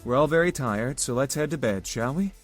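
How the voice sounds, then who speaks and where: A man playing a tender, devoted young love interest in a visual novel, speaking gently and formally with affection. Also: mid-range voice, animated, a little fast, faint music behind, natural voice. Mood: gentle.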